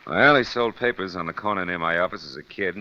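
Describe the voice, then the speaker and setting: An actor playing a shrewd, wisecracking American private detective in mid-century radio noir style, with a wry, clipped, hard-boiled manner. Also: male voice, low-pitched, fast, pushed and bright. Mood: wry, guarded. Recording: dull old radio recording, faint music.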